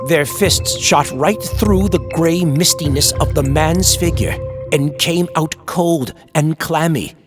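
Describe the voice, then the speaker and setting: A man narrating a scripted horror tale like an old-time radio host, theatrical, ominous and drawn-out. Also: a little high. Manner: ominous, drawn-out, dramatic delivery. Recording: music under the voice.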